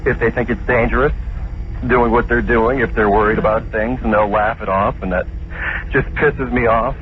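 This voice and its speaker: A man calling in by phone, speaking in a matter-of-fact, halting and hesitant way. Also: medium pitch, steady tone, slight background noise. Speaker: phone-in caller. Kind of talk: unscripted phone call. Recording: tinny phone line with music.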